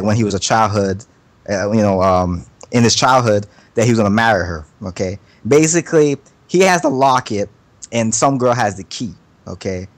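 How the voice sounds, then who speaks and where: A man with a mid-pitched voice talks casually as a podcast member. He rambles in slangy, sweary phrases, often doubling back and repeating himself. The sound is dry, with very little room. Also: dry recording. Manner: slangy, profane, halting with frequent self-repetition. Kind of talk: casual chat, rambling opinion.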